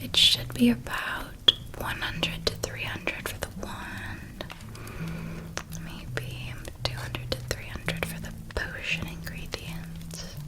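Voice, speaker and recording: A woman voicing a gentle, reassuring witch guide in a whispered ASMR roleplay, hushed and soothing as she tells things. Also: close to an everyday voice. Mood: gentle, helpful. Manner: hushed, soothing, unhurried storytelling. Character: gentle, reassuring witch guide helping a young academy student.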